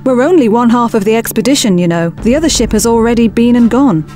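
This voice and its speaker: A woman acting a skeptical, practical expedition officer in a science fiction audio drama, brisk and matter-of-fact. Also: a little high, expressive, mellow, close to an everyday voice. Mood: firm, skeptical. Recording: music bed under the dialogue.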